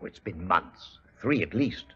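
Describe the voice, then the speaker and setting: An actor playing an imperious, wealthy industrialist in an old radio mystery, speaking formally with coldly courteous menace. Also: male voice, low-pitched, animated, unhurried, fairly bright tone, exaggerated voice. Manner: smooth-talking, formal, menacing. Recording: dull, hissy 1940s radio recording.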